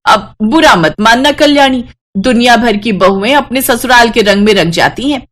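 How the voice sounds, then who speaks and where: A woman's voice: a little high, moderate pace, a bit bright. A woman voicing a gossiping, mocking neighbourhood woman in a cartoon story, needling with false friendliness. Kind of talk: Hindi animated moral-story voice acting.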